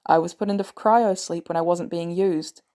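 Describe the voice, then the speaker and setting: A woman voicing a weary, guilt-ridden male former assassin in an audio drama, terse and hesitant, with dry, protective gruffness. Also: fairly low pitch, steady tone, normal speed, mellow, lightly acted. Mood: guarded, dry.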